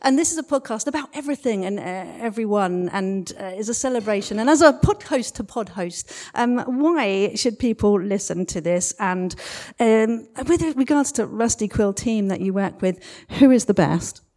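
Woman, interview host. Mid-pitched, effusive, playful, informal live delivery. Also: lively intonation, normal speed, hardly any pauses, warm tone. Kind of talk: live interview hosting, casual chat.

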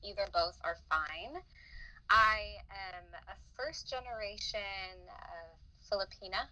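A woman's voice: mid-range voice, slow pace, sharp tone, low-quality recording. A young adult woman from the Philippines, a guest on a podcast, talking thoughtfully with many fillers and restarts. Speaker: podcast guest, psychotherapist in training. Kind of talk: reflective interview talk.

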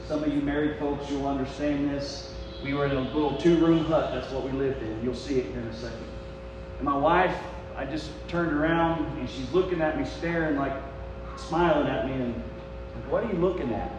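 A man's voice: on the high side, fairly even intonation, normal speed. An American man in his forties preaching a personal testimony, folksy and dramatic, telling stories and acting out remembered dialogue. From United States. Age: 40s. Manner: folksy, dramatic, acts out remembered dialogue, swings from quiet confiding to shouting. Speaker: preacher and missionary. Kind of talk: sermon testimony, storytelling to a congregation. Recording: echoey hall, distant, faint hum.

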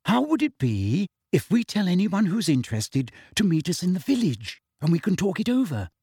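Male reader doing a keen, bossy schoolgirl witch, raised pitch, dry close sound. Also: huge pitch swings, moderate pace, dark tone, slightly rough, no room sound, heavily acted. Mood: earnest. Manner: eager, lecturing. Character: earnest, bossy teenage girl student witch.

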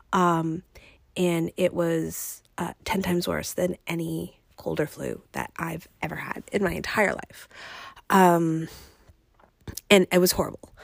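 Congested, raspy young woman with creaky voice. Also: on the low side, no room sound, studio quality. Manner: rambling, conversational. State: congested, recovering from illness. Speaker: podcast host. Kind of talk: casual personal podcast chat.

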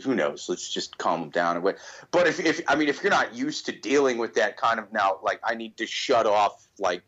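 A man's voice: on the low side, animated, moderate pace, a bit bright, dull audio. A man co-hosting a podcast, stammering and joking through casual chat. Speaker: podcast co-host.

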